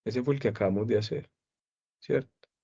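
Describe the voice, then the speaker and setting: Man, psychology teacher, online lecture, mid-pitched voice, dull lo-fi audio, checks in with his students. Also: gravelly, mellow, husky. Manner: explains slowly with repeated questions to students.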